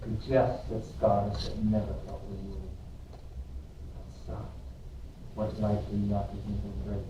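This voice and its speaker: A man's voice: fairly low pitch, completely flat delivery, measured pace, highs cut off, natural voice. A man reading the part of a lovestruck, ardent young man in a Shakespearean verse drama. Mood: rapt, yearning. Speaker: amateur reader in a school play. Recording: roomy, distant mic, faint noise.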